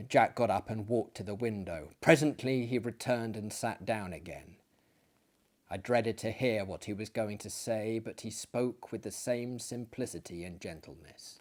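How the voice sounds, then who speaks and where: A man giving a dramatic, solemn narration of gothic fiction, with deliberate pauses and phrases that drop to a hush. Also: lowish voice. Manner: theatrical, solemn, with hushed drops and deliberate pauses. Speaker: audiobook reader and performer.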